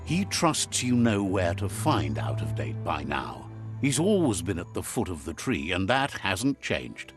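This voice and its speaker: A man playing the wry, sage narrator of an animal fable game, telling it in a measured, philosophical storybook style. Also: low voice, sing-song, music in the background. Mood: gently guiding.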